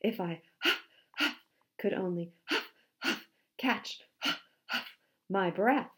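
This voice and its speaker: A woman's voice: mid-range voice, sing-song, moderate pace, darkish tone, voice pushed up. A woman voicing a vain, pompous and reluctant male musk ox in a storybook, with a grandiose, put-upon manner. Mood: reluctant, weary.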